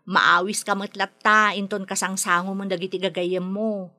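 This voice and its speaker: An actress playing a concerned, scolding younger sister in a radio drama, with an Ilocano Filipino accent. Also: female voice, medium pitch, level tone, normal speed, bright, forceful tone, natural voice. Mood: reproachful. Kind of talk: Philippine radio drama acting.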